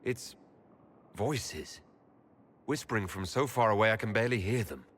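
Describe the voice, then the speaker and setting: A man playing a resolute elven hero in a fantasy video game, solemn and dry, with measured dramatic delivery. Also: fairly low pitch, animated, a bit bright, breathy edge. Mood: grave.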